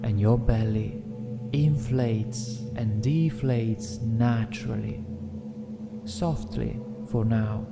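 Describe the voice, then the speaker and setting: A man narrating a guided meditation in a gentle, soothing, deliberate way, leaving long pauses between phrases. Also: on the low side, fairly even intonation, gravelly. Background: meditation music with singing bowls.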